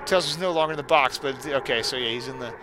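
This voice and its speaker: A man's voice: mid-range voice, quick pace, background noise. A man giving casual game commentary and reading a story aloud, with sardonic, exasperated asides. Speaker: let's player reading a creepypasta aloud.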